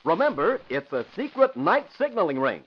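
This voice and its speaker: Ad announcer, male: high and swooping, eager vintage radio sell, muffled broadcast. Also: very animated, normal speed, breathy edge. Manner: eager, urgent, emphatic. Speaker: advertising announcer. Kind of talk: 1940s radio sales pitch.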